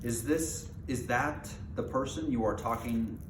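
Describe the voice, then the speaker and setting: A man's voice: medium pitch, measured pace, close to an everyday voice. A man voicing anxious, complaining dwarves in a storybook with querulous questions. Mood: worried complaint. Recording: roomy echo, clear background noise.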